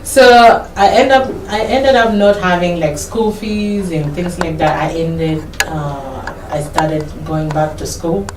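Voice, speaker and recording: A woman's voice: fairly low pitch, slow, few pauses. A Kenyan woman in her thirties telling her life story in an interview, hesitant and repeating words, now and then slipping into Swahili. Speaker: guest. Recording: echoey room, mic far off, some noise.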